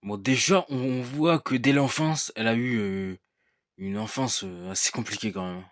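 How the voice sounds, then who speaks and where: A man's voice: mid-range voice, moderate pace, no room sound, clean studio sound. A man hosting a reaction video, chatting casually, lecturing his viewers and leaning on fillers.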